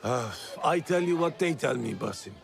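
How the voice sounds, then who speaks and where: A man playing a weary, cautious older mentor of thieves in a video game, speaking in a measured, admonishing way. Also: medium pitch, animated, gravelly, soft background music. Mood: cautionary, solemn. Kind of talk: dramatic video game acting.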